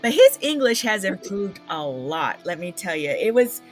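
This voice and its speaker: A woman's voice: mid-range voice, very animated, normal speed, soft background music. A woman chatting casually about a TV show, laughing easily and trailing off with fillers. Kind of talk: casual chat about TV and film.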